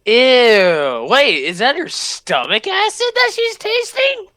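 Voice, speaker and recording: A man's voice: very high voice, huge pitch swings, slow, noisy background. A man hosting a reaction video with casual, informal commentary.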